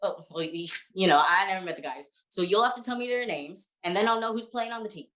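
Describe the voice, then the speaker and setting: A woman acts out a confused male sidekick in a 1940s radio comedy. The delivery is halting and questioning, with an exasperated edge, in a mid-range voice. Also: medium pitch, a bit bright, natural voice. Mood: curious.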